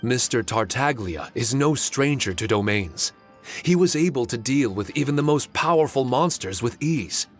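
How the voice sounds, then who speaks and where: A man voicing a courteous, solemn ancient guardian spirit in an anime game, speaking formally and in a measured way. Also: medium pitch, expressive, soft background music, close to an everyday voice. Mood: polite.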